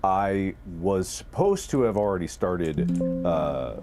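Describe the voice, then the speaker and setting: Animated, profane man telling casual stories. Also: low voice, takes it slowly, faint music behind. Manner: animated, profane, exaggerating for effect. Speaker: video creator and co-host, recounting past productions. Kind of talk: casual conversational storytelling.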